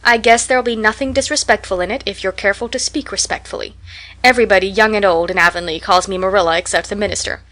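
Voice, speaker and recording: A woman voicing a strict, disapproving middle-aged spinster guardian in an audiobook, brisk and immovable. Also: medium pitch, fairly even intonation, fairly bright tone, close to an everyday voice. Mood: matter-of-fact. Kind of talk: audiobook character voice.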